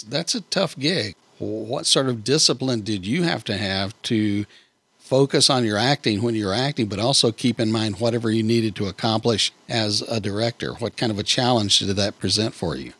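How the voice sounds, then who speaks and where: Male podcast interviewer, medium pitch, conversational, very dry sound with no room echo. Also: fairly bright tone, slightly rough.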